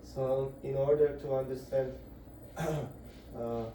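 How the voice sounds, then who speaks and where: A man giving a devotional scripture lecture to a group indoors, halting and searching for words as he explains. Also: mid-range voice, steady tone, dark tone, a little hiss or hum. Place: indoor gathering room. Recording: very echoey room, voice far off.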